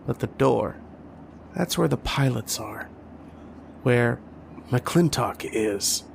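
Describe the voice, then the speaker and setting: Man playing haunted storyteller, anguished, unhurried, fry-edged voice, halting dramatic delivery, old-time radio horror. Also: medium pitch, animated, slight background noise, heavily acted. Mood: haunted, uneasy. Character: haunted, anguished man recounting his nightmares and lost love.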